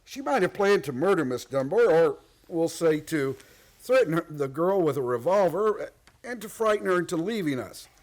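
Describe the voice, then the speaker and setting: A man's voice: a little high, lively intonation, normal speed, a little mellow, close to an everyday voice. An actor playing a proud, domineering, wealthy man in a radio play, blunt, formal and defensive. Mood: explaining, sombre.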